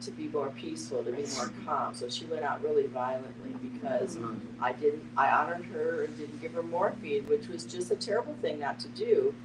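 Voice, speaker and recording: A middle-aged woman speaks from well back from the microphone in an echoey space with a clear hum. She is earnest and deliberate, stressing her points as she shares a personal account with a group. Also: deep voice. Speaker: panel participant, family caregiver. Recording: echoey, distant mic, noisy hum.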